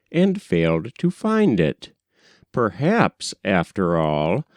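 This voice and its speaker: Casting: male audiobook narrator, unhurried and measured, widely varied intonation, literary short fiction. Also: mid-range voice, darkish tone, no room sound. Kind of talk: scripted narration of a short story.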